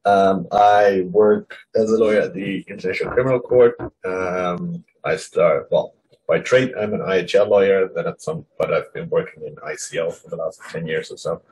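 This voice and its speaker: A man with a deep voice introduces himself to a workshop. He talks informally, as a lawyer and academic outlining his work. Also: fairly even intonation, moderate pace, darkish tone, low-quality recording.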